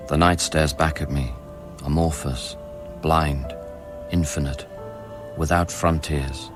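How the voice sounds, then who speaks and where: An actor playing a brooding, haunted man of science in a radio play, speaking with measured intensity. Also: a bass voice. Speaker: lead actor and first-person narrator. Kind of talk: radio play, intimate literary narration and dialogue.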